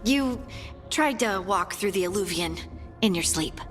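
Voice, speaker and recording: A woman's voice: medium pitch, lively intonation, measured pace, a bit bright, faint music behind. An actress playing a plucky, caring woman adventurer in a fantasy video game, with long reverb on the voice. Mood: worried.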